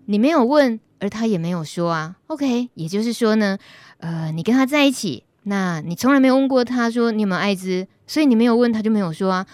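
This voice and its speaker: A woman's voice: mid-range voice, no echo. Taiwanese woman hosting, dry close sound. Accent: Taiwanese Mandarin. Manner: chatty, addresses listeners directly. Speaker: radio host. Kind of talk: conversational explanation on a live talk show.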